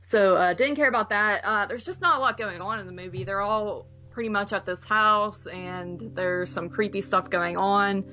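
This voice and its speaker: A woman's voice: mid-range voice, steady tone, moderate pace, hardly any pauses, pushed and bright, dull audio, faint music behind. A woman co-hosting a podcast, giving her take on a movie in an informal, offhand way. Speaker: podcast co-host. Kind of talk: casual movie review chat.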